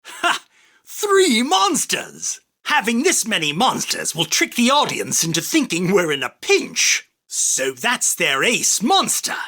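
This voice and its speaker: Arrogant anime duelist king, boastful, husky and bright-edged, slow grand declamation, game battle lines. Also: high-pitched, huge pitch swings, heavily acted. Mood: cool, appraising. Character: arrogant, theatrical self-proclaimed king of card duels. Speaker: voice actor.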